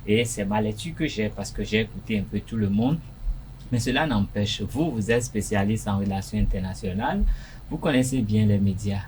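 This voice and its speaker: A man's voice: fairly low pitch, moderate pace, a little mellow, a little hiss or hum. A man co-hosting a televised panel debate as a journalist, pressing the guest with earnest, probing questions. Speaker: journalist co-host.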